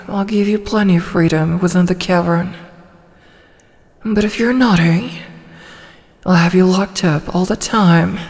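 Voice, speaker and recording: A woman playing a huge, possessive, flirty dragoness in an intimate ASMR roleplay, coaxing and teasing softly, often slipping toward a whisper. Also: fairly low pitch. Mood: gently threatening. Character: huge tsundere female dragon, possessive, flirty, doting captor. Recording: long cavernous reverb.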